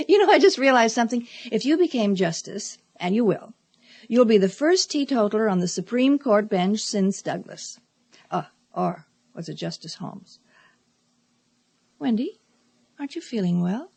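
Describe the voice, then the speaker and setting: An actress playing a devoted, ambitious middle-aged wife in a radio drama, husky and emphatic. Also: female voice, a little high, lively intonation, moderate pace, a little mellow, no room sound, exaggerated voice. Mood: teasing, amused. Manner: emphatic, theatrical delivery.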